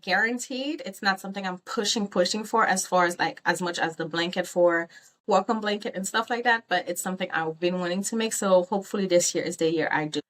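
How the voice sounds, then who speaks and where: A woman who grew up in Haiti talks to her viewers in a loose, rambling vlog. Her pitch sits squarely in the middle. She fills pauses with ums and pokes fun at herself as she goes. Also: a bit bright. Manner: chatty, rambling, self-teasing, with frequent ums. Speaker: crochet vlogger. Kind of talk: casual vlog chat.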